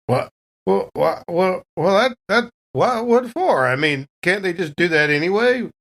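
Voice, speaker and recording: A man's voice: high voice, lively intonation, unhurried, creaky, fairly bright tone. A man role-playing in an improvised tabletop game, flustered and stammering through repeated words. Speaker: player in a tabletop game podcast. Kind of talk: improvised in-character role-play.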